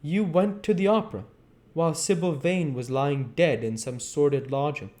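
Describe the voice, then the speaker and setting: A man voicing a grave, heartbroken gentleman, formal and pleading, from a classic novel. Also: a little high, moderate pace, voice pushed up, close to an everyday voice. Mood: pained reproach. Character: grave, heartbroken gentleman friend, earnest and reproachful.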